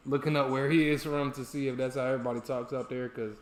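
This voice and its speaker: A man's voice: mid-range voice, fairly even intonation, fast talker. A man with an American accent hosting a sports stream, chatting in a laid-back, colloquial way. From United States. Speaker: host of a sports watch-along stream. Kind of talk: casual chat. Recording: roomy, echoey sound.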